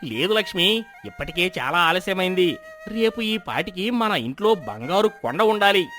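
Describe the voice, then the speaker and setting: An actor playing a greedy, stubborn village husband in a dramatized moral story, speaking in an emphatic, theatrical way. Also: male voice, sits high, animated, normal speed, sharp tone. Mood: greedy, dismissive. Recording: music behind the voice.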